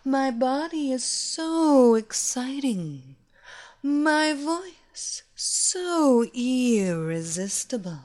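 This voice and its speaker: A woman playing Evelina, slow sultry hypnotist. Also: high voice, a little mellow, pitched above the performer's own voice, exaggerated voice. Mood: hypnotic, commanding. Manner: slow, sultry, hypnotic repetition with breathy whispers. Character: seductive female villain hypnotist, domineering and teasing. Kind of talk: erotic hypnosis audio story.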